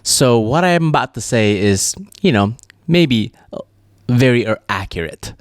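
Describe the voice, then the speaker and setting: A man's voice: mid-range voice, measured pace, no room sound, clean studio sound. A young man from Malaysia co-hosting a podcast, chatting casually and animatedly with lots of fillers. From Malaysia (Sabah, Borneo). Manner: animated, rambling with many fillers. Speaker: podcast co-host. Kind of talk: casual chat.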